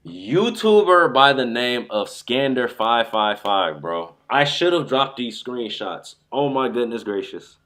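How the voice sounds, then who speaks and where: A man streaming live about a fighting game, rambling and excitable, sounding stuffy from a sore throat. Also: mid-range voice. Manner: excitable, rambling, says "bro" a lot. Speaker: gaming streamer.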